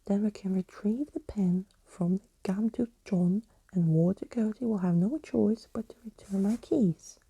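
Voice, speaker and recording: Woman, ASMR whisper, very mellow, breathy, deliberate storybook narration, unhurried, close and dry. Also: medium pitch, no room sound. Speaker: ASMR host reading a story aloud.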